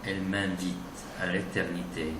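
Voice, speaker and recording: A man giving a dramatic, declamatory recitation of romantic poetry in deliberate phrases. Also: sits low, flat delivery. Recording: distant, echoey, noisy recording.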